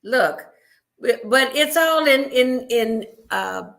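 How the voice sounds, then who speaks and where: Bright-voiced chatty woman host. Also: high-pitched, lively intonation, takes it slowly. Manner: chatty, affirming, frequent short agreements. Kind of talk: casual interview talk.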